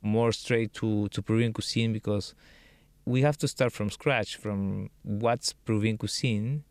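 A Peruvian man, a chef, answering interview questions in a relaxed way, thinking aloud with many fillers. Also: on the low side, measured pace, no echo. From Peru. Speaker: chef and cookbook author.

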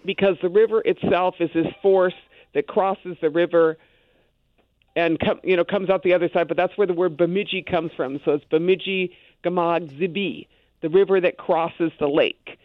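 A man's voice: high-pitched, fairly even intonation, moderate pace, no echo. Man talking over a tinny phone line. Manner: loose and conversational, many asides. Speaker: guest. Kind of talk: casual conversation on a radio show.

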